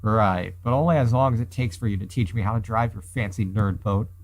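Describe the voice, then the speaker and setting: A man's voice: lowish voice, a little fast. A man reading the part of a brash, threatening young female boat thief in a visual novel. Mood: cocky, stern. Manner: cocky, menacing.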